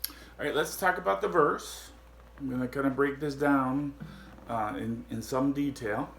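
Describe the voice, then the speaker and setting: Slow-talking man with a gravelly deep voice. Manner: slow, halting explanations with many fillers. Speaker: guitar teacher. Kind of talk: casual guitar lesson. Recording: echoey room with guitar playing.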